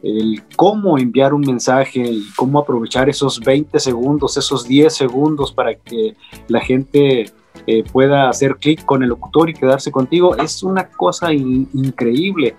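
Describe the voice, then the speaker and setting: A man, speaking as a radio guest in a halting, reflective, conversational way and often repeating words. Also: medium pitch, darkish tone, slightly rough, soft background music. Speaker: radio guest, programmer and director. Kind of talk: conversational panel talk, reminiscing.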